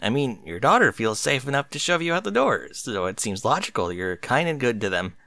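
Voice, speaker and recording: A man voicing a nervous, earnest male unicorn pony in a story reading, with a halting way of talking. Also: mid-range voice, very animated, creaky, sharp tone, no room sound, exaggerated voice.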